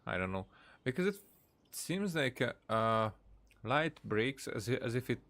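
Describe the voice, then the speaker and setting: A man's voice: medium pitch, big pitch swings, a little slow, fairly bright tone. A man streaming a game with casual live commentary, musing and hesitant as he thinks aloud.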